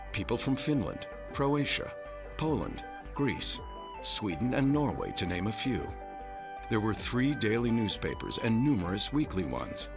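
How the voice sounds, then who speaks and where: A man narrating a documentary from a script. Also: lowish voice.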